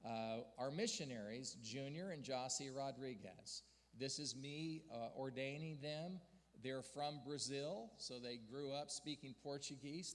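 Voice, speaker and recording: A man giving an earnest church report and story to a gathering, building to emphatic peaks. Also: mid-range voice. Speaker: denominational church leader speaking to a district gathering. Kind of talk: sermon-style report with slides. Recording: long hall reverb.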